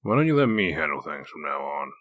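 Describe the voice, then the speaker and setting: Man playing gruff sardonic cop, deep voice, dry needling manner, close dry recording, comic dub. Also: very animated, fairly quick, fairly bright tone, no echo, full character voice. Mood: calm, reassuring. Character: gruff, sardonic male wolf detective in human form. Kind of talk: comic dub dramatic acting.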